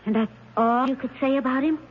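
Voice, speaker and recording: Radio play: actress as a scheming, coaxing woman, highish voice, firm and deliberate, muffled vintage recording. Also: fairly even intonation, close to an everyday voice. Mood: probing. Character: insistent, scheming woman coaching an accused man. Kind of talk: 1970s radio drama acting. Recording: dull, noisy old radio recording.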